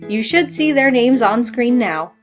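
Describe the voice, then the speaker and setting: A woman's voice: on the high side, animated, unhurried, faint music behind. A woman working as a narrator, reading scripted material for an audio story production.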